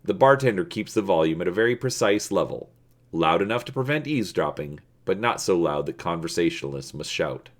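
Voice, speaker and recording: A man hosting and dramatically reading an adventure story, deliberate and stretching words for emphasis. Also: sits low, lively intonation. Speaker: video host and storybook narrator.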